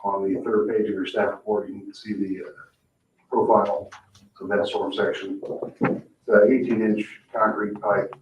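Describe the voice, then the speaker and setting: A man with a lowish, flat voice reads out a procedural report to a board. He is matter-of-fact and halting, heard from a distance in a reverberant room with some background noise. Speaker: town staff member presenting to a board. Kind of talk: procedural staff report at a public meeting. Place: indoor municipal meeting room. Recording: muffled, echoey, noisy room, distant mic.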